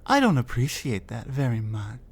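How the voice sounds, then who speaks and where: A woman's voice: rumbling low, sing-song, moderate pace, husky, exaggerated voice. A woman voicing a huge, reclusive female troll in an ASMR audio roleplay, curt and commanding. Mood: cold, mocking. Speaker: voice actor.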